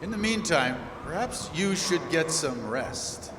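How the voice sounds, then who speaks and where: Man playing slow-spoken, dignified ship's captain. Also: fairly high pitch, big pitch swings. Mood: concerned. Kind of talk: old-time radio adventure acting. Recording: echoey hall, clear background noise.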